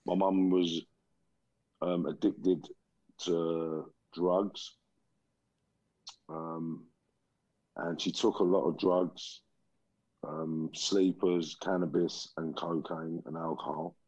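Man in his 40s, very low mellow voice, dry dull call audio, measured and reflective. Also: level tone, no room sound. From England. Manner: slow, reflective, many pauses and ums. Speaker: guest. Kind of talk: life story interview.

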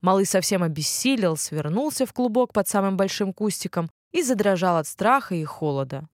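A woman narrating a children's bedtime fairy tale as an expressive storyteller. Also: mid-range voice, a little fast, no echo, clean studio sound.

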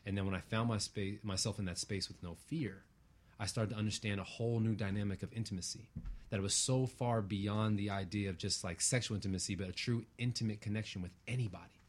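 A man's voice: lowish voice, fairly even intonation, quick pace. A man speaking as an interview guest in a reflective, earnest way, using abstract spiritual phrasing and often checking for agreement.

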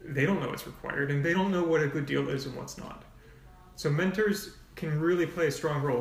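A man's voice: on the high side, a bit bright, recorded from a distance. A man speaking frankly as a nonprofit volunteer coordinator in a casual podcast interview, explaining in long runs with asides. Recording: echoey room, off-mic.

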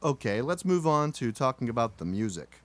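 Dry-witted host with a lively voice. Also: male voice, medium pitch, normal speed. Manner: dry, matter-of-fact questioning. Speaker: podcast host. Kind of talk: mock interview, dry comedy.

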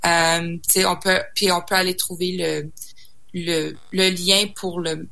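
A woman's voice: lowish voice, flat delivery, unhurried, vocal fry, sharp tone. A young woman from Saskatchewan, a singer guest in a casual interview, talking hesitantly with many fillers and restarts and slipping in English words. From Saskatchewan, Canada.

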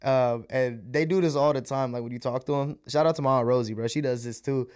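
A young man in a loose, slangy podcast conversation, often breaking into laughter. Malayalam was his first language, though he now talks in easy English. His voice sits at an ordinary middle pitch for a man. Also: fairly even intonation, fairly quick, a little mellow. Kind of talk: casual chat.

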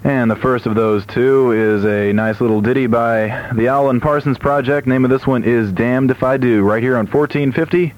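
A man's voice: medium pitch, normal speed, hardly any pauses, lo-fi sound, slight background noise. A man working as a radio DJ, chatting between records in a studio, on an old AM aircheck recording. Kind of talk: radio music DJ chat. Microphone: studio broadcast microphone.

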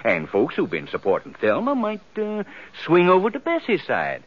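A man's voice: on the high side, huge pitch swings, moderate pace. An American man playing a flustered, wheedling small-town undertaker in a 1950s radio western, with a folksy, stammering, pleading delivery. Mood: earnest, explaining. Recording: dull, lo-fi 1950s radio recording.

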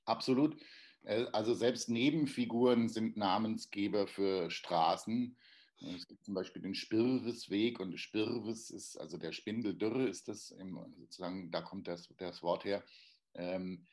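Rambling Hessian man, lowish voice. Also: unhurried, darkish tone. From Darmstadt, Germany. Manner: rambling, searching for words, many restarts. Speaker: playwright guest. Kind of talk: casual podcast interview.

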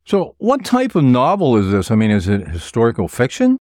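A male show host puts scripted questions to a guest with a polished, presenterly delivery. His mid-pitched voice swings in a lively way across its range, and the sound is dry and free of echo. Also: a little fast. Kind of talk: scripted radio-style interviewing.

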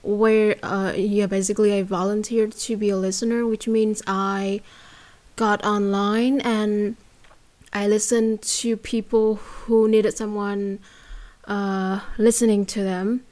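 A woman's voice: medium pitch, level tone, slow pace, darkish tone. A young woman from Vietnam with a Vietnamese accent, talking as a guest in a casual interview, hesitant with many fillers and restarts.

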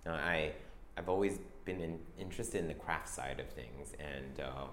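A young man from Taiwan, a fashion designer, talking about his work in a soft, hesitant way full of restarts. His voice sits very low, and the room around him is echoey with a faint hum of ambience. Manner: soft-spoken, halting, many restarts and fillers. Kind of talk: interview answers.

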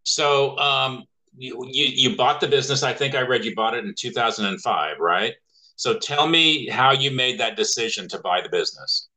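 Bright-voiced, rambling male podcast host. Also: medium pitch, normal speed, rough recording. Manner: rambling, with many fillers and restarts. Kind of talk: casual chat.

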